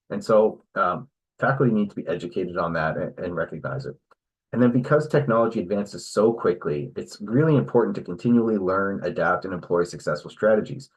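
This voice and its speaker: Low, husky-voiced man presenting. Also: low-quality recording. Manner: measured, explanatory, says "right?" often. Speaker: webinar moderator and presenter. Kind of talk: online webinar presentation.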